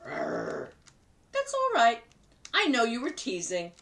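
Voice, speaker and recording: A woman reading a storybook aloud, voicing a kindly, teasing old grandmother in a high, sing-song voice. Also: huge pitch swings, slow pace, a bit bright, far from the mic, heavily acted. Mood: fond.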